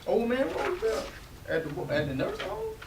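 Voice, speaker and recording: A man's voice: very high-pitched, wildly swooping pitch, normal speed, full character voice. A young man playing a brash, swaggering older brother in a staged play reading. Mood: surprised. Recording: faint hiss, live room recording.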